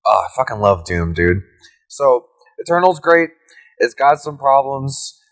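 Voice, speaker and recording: A man's voice: mid-range voice, very animated, measured pace. Crude, joking young man on a podcast. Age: young adult. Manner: crude, joking, frequent swearing. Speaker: podcast co-host. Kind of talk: casual chat.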